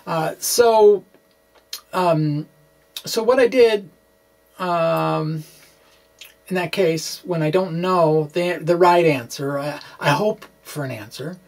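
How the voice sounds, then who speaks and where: A middle-aged man presenting a blog aloud with rambling asides, speaking in a halting, hesitant way and often correcting himself. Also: a little high. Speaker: physics blogger and vlogger. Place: small indoor room with bookshelves.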